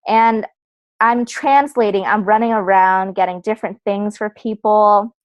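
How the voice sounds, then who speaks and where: Young woman guest speaker, casual and chatty with lots of fillers, mid-pitched voice, dry close recording. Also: fairly even intonation, unhurried, no room sound, lo-fi sound. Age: young adult. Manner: chatty, uses many fillers like "like" and "so". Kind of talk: casual personal talk.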